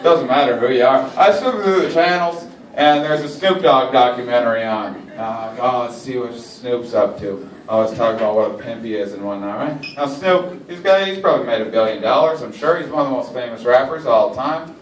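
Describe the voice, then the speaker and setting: A man's voice: mid-range voice, a little fast, few pauses, slight background noise. A man in his thirties doing a stand-up set on a small club stage, wry and mocking, slipping into silly voices for jokes, recorded from an audience table. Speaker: stand-up comedian. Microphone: handheld mic, filmed from an audience table. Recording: echoey club audio from a distance, loud crowd laughter.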